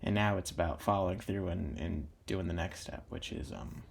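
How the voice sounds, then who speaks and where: A man's voice: sits low, normal speed, gravelly, breathy edge. A young man talking casually while drawing for his own video channel, meandering with many fillers and restarts. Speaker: drawing YouTuber.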